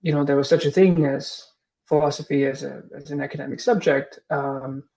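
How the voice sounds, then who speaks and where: A man's voice: on the high side, steady tone, a little fast, vocal fry, darkish tone, low-quality recording. A man in his thirties, from Singapore, answering interview questions as a guest professor, hesitant, with many fillers and restarts.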